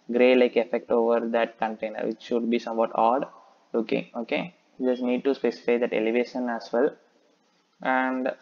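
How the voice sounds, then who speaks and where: A man narrates a screen-recorded coding lesson in an almost unchanging monotone, working through each step and pausing on "okay". His voice comes through a dull, lo-fi mic with a faint hiss beneath it. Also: medium pitch. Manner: methodical, steady, thinking aloud, frequent "okay". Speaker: coding tutor.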